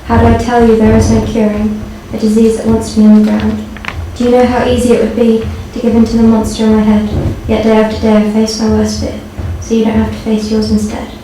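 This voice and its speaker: Even-toned woman reading in an echoey hall. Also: on the high side, brisk, hardly any pauses. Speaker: guest poetry reader. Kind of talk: poem reading to an audience. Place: indoor live launch event. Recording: echoey, noisy hall, far from mic.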